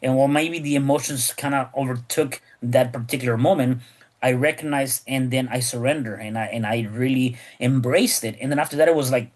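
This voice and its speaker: Podcast host, man, chatty and rambling, middle-pitched voice. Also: a little fast, darkish tone. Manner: rambling, many repeated words and restarts. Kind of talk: casual podcast conversation.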